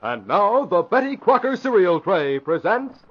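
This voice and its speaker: High, animated vintage radio announcer man. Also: unhurried, soft background music. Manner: polished, emphatic salesmanlike delivery. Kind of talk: 1940s radio announcing and sponsor reads. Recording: dull vintage radio broadcast recording.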